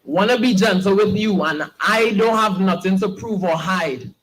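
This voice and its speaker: A young man, performing spoken word poetry with a slow, earnest, pleading delivery at an open mic. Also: high-pitched, fairly bright tone, rough recording. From Trinidad and Tobago.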